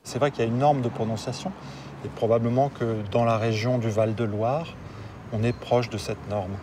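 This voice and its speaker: Brief: mid-pitched male interviewee, distant mic, traffic and street sounds. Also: fairly even intonation, moderate pace. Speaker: expert interviewee.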